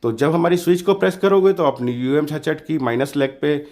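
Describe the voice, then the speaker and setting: Slightly hoarse man explaining hands-on steps. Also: fairly high pitch, a little fast, darkish tone. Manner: informal, explanatory, often adds reassuring check-ins. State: slightly hoarse from a sore throat. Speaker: DIY electronics tutorial host. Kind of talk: casual step-by-step tutorial narration.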